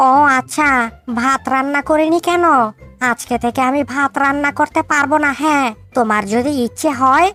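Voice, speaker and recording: A quick-tempered cartoon monkey wife, acted by a woman, very high in pitch. She is bossy and scolding, with faint music behind her. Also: bright, forceful tone. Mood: angry, defiant. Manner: scolding, exclamatory. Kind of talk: cartoon voice acting. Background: background music.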